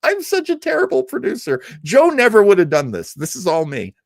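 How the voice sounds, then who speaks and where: A man hosting a live show, chatting casually with viewers in a halting, self-deprecating way and laughing easily. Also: high-pitched, huge pitch swings, creaky, no room sound, clean studio sound. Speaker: host of a live old-time radio show stream. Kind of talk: casual live chat with viewers, rambling sign-off.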